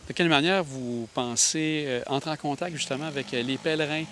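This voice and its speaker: Halting local TV interviewer. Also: male voice, fairly high pitch, fairly bright tone, slight background noise. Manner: long questions with halting restarts. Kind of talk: interview questions.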